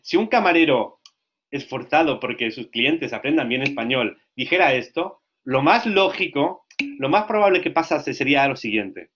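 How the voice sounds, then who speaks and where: A man giving a lecture to language teachers, lively and often checking that listeners follow. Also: mid-range voice, normal speed, dull audio. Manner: lively, asks frequent check questions.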